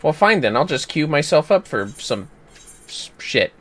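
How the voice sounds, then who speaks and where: A man chatting casually while gaming on a livestream, playful, exclamatory and quick to swear. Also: a little high, big pitch swings, fairly bright tone. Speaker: gamer on a livestream. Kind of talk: casual gameplay chat.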